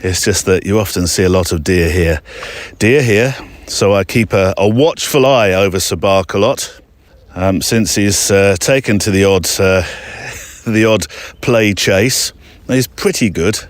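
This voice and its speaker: A man's voice: low voice, unhurried, dry recording. A man hosting a podcast outdoors, talking casually to listeners in a chatty, rambling way with hesitant asides. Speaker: podcast host. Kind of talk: casual walking monologue to listeners. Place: outdoors, walking in an overgrown park.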